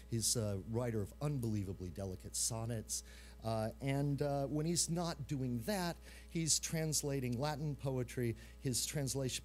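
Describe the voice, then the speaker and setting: A man acting as master of ceremonies, giving informal, halting introductions to a live audience. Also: medium pitch, darkish tone. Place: live event room.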